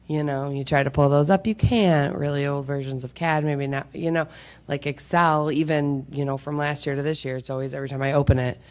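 Panelist, mid-pitched voice, conversational with rhetorical questions. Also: fairly even intonation, fairly quick, darkish tone. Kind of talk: panel discussion remarks.

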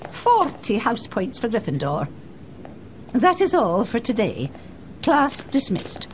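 A woman's voice: a little high, wildly swooping pitch, slight background noise, full character voice. A woman voicing a stern, formal older witch who teaches at a school in a video game. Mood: approving, formal. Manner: formal, deliberate. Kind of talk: video game cutscene acting.

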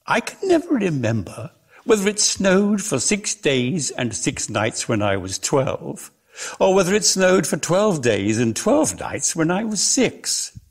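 A man giving a dramatic reading of a literary story in a measured, theatrical way, lingering on his words. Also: on the high side, very animated, slightly rough. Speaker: reader.

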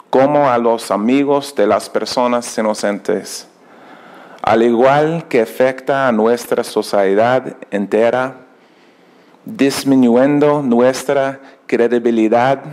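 A middle-aged American man delivers an earnest keynote in careful second-language Spanish. His English accent is plain in his vowels and rhythm. He speaks slowly into a podium microphone in an auditorium. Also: mid-range voice, a little mellow. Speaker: keynote speaker, project director. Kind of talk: prepared speech in a second language.

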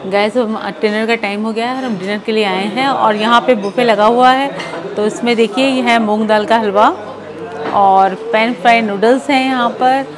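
A woman's voice: on the high side, fairly even intonation, moderate pace, crowd noise. A woman vlogger hosting a hotel tour, chatty and inviting, pointing things out to viewers. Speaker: travel vlogger host. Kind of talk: hotel tour vlog narration. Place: hotel interior and restaurant.

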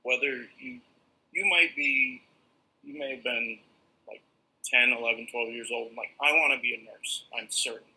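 An earnest young man shares his path on a video call. His voice is bright and edgy with a husky grain. It comes through a plain laptop microphone at home. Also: mid-range voice, moderate pace, poor audio. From northern New York. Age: young adult. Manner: earnest, candid storytelling. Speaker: nursing student. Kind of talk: casual video call talk. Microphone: laptop or webcam mic on video call.

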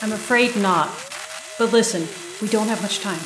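A woman's voice: medium pitch, moderate pace, natural voice. A woman playing an earnest government special agent in an audio drama, formal, businesslike and persuasive. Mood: urgent. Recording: echoey room, faint noise.

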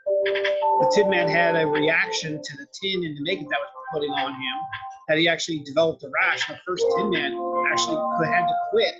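A man's voice: on the high side, fairly even intonation, brisk, lo-fi sound, over loud music. A man giving a lecture over slides in an explanatory, loosely worded, conversational way. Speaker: film and art history lecturer.

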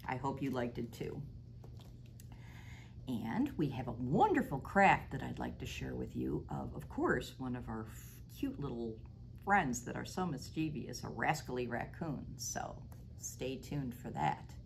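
Low-voiced woman leading a kids' craft. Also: measured pace, gravelly, a little hiss or hum. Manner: animated storybook reading with big sound effects. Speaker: children's librarian. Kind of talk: storytime reading and craft demo. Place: library storytime room, indoors.

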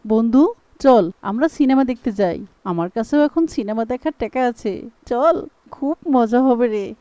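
A woman performs a young cartoon schoolboy, sly and wilful but soft at heart. The voice is high and rounded, without sharpness. The sound is very dry. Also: lively intonation, measured pace, clear, resonant tone, dry recording, highs cut off. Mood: excited. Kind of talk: cartoon voice acting.

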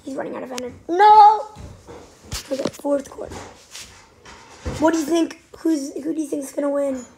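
A woman with a cold giving casual, excitable commentary while playing a game, sometimes dropping to a whisper. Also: sits high, moderate pace, long pauses, poor audio. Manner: chatty, excitable, trailing off into whispers. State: has a cold. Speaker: gaming channel host. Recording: faint background noise.

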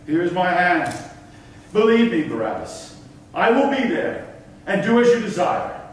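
A man's voice: high voice, very animated, measured pace, recorded from a distance. A man acting a dignified governor, speaking formal Elizabethan stage verse in an emphatic, declamatory way. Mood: earnest. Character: dignified governor of Malta, negotiating earnestly. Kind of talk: theatrical stage reading of Elizabethan drama. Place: concert hall stage, far camera. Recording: echoey hall from afar, faint noise.

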